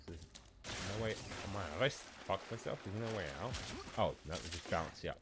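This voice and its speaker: A man's voice: sits low, expressive, a little fast, background noise. A man with a stuffy, cold-congested voice, giving rambling, casual commentary while streaming a video game and often swearing.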